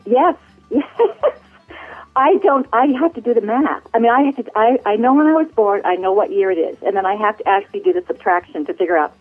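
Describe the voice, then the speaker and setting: Sixties woman, bubbly guest, mid-pitch, thin phone-line sound. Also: brisk, husky, faint music behind. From United States. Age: 60s. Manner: bubbly, laughs often. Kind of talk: casual interview. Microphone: phone call.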